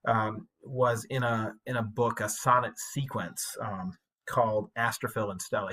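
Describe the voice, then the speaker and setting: A man giving an informal English lecture, explaining things in a conversational, colloquial way like a high school teacher. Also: bright, forceful tone, breathy edge.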